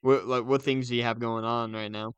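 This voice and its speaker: A man's voice: medium pitch, level tone, brisk, a bit bright, smooth, no room sound. A man co-hosting a podcast in casual banter, joking and reacting to what his partner says. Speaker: podcast co-host.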